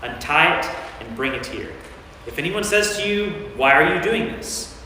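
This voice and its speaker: A man acts out a commanding male teacher and healer from scripture. He speaks deliberately and with authority in a staged reading, his words ringing with heavy echo and long reverb. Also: a little high, lively intonation, sharp tone, slight background noise. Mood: instructing. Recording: very echoey church hall.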